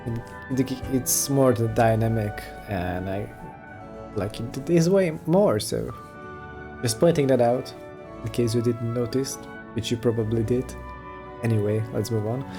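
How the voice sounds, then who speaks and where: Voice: mid-range voice, unhurried, over music. A gaming streamer giving casual let's play commentary and reading out dialogue choices.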